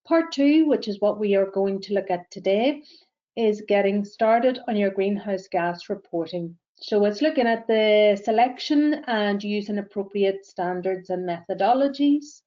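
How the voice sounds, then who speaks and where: A woman with a Northern Irish accent presenting a webinar as a greenhouse gas verifier, speaking in a measured way with frequent ums and uhs. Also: medium pitch, dark tone, dull audio. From Northern Ireland.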